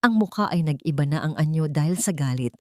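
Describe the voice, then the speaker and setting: A woman's voice: low-pitched, expressive, brisk, mellow, dry recording, clean studio sound. A woman with a Filipino accent narrating a dramatic audio story, voicing the characters' lines herself.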